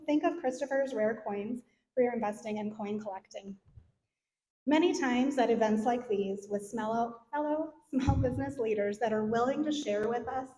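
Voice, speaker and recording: A woman's voice: on the high side, darkish tone. A middle-aged woman giving a scripted speech and introduction at an event in a hall. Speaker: event sponsor and speaker introducer. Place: large event hall, on stage. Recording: echoey hall, faint noise.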